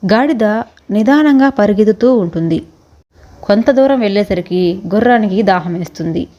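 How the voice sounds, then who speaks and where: A woman's voice: mid-range voice, expressive, moderate pace, dark tone, muffled recording. A woman narrating a scripted children's moral story as the storyteller.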